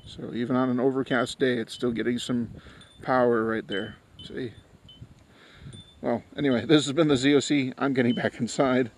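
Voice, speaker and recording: Regular guy doing a casual unboxing review, average pitch, kinda informal and blunt. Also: fairly even intonation, moderate pace, slight background noise. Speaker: host of product unboxing videos. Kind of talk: casual hands-on product review. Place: small metal trailer, then outdoors in snowy yard.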